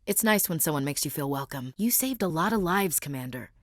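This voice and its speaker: Tough young female marine, game character, clipped and direct, low voice, dry sound. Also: lively intonation, brisk, dry recording. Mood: steady. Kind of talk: video game voice acting.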